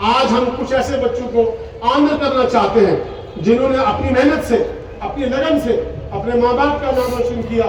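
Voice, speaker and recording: Male cleric, public religious oration, emphatic repetition, exhortatory, very high pitch, distant echoey sound. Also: moderate pace. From Poonch, Jammu and Kashmir, India. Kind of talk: impassioned Urdu public oration at a religious gathering. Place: large gathering, speaking from a stage. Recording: echoey public address, distant, faint noise.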